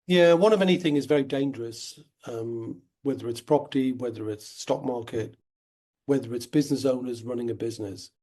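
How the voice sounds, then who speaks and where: A man talks through his ideas in an earnest, steady interview manner. His voice is dark and mellow, with a slight croak left over from a cold. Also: mid-range voice, fairly quick. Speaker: guest, wealth company founder. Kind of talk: conversational interview.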